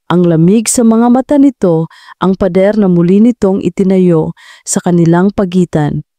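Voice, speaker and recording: Mellow-voiced woman narrating a drama. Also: on the low side, dry recording. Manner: measured, dramatic storytelling. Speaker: storyteller. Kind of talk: scripted audio drama narration.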